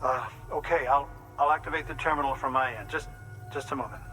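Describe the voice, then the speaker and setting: An actor playing a calm, precise doctor who explains medical procedures and reassures patients in a game cutscene. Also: male voice, mid-range voice, lightly acted. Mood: reassuring. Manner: measured, explanatory, slightly fussy. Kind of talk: video game cutscene acting. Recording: score music in the background.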